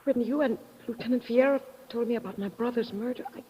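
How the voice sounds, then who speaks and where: An actress playing an earnest, anxious young Latin woman, husky and intense, in 1950s radio drama style. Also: a little high, fast talker, mellow. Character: earnest, anxious Latin woman seeking her brother's killer. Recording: muffled old radio recording with reverb.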